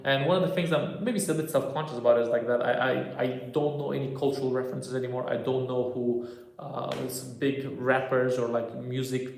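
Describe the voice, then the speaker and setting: A man's voice: medium pitch, steady tone, brisk, creaky, a little mellow. A man, a stand-up comedian chatting casually on a livestream and answering viewer comments as he goes. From Romania. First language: Romanian. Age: 30s. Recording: echoey room.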